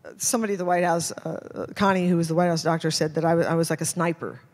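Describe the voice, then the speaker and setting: A middle-aged American woman answering audience questions as a guest speaker, casual and halting, often restarting her sentences. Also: fairly low pitch, fairly even intonation, a little mellow. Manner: halting, self-interrupting, informal. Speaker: guest photojournalist. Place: indoor hall at a public talk. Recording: amplified in a reverberant hall.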